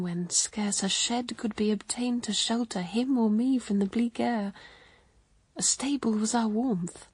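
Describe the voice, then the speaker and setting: A woman's voice: mid-range voice, darkish tone, husky, no echo. A woman playing a sorrowful, anxious, dignified mother who sighs through solemn verse in a radio drama. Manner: sighing, contemplative, solemn.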